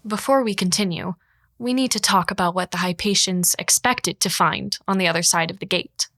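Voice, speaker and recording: An actress narrating a sci-fi audio drama as a matter-of-fact historian, measured and explanatory. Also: female voice, medium pitch, a bit bright. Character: matter-of-fact female historian recounting a space expedition. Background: music.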